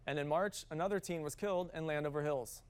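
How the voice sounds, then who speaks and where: A man's voice: fairly high pitch, fast, smooth. A man with an American accent reading scripted television news as an anchor.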